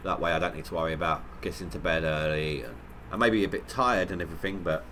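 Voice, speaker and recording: Low-voiced man with a stuffy nose. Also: fast talker, fairly bright tone, a little hiss or hum. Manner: rambling, stop-start, self-mocking. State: nasal from a head cold. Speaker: gaming livestreamer. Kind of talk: casual gameplay commentary.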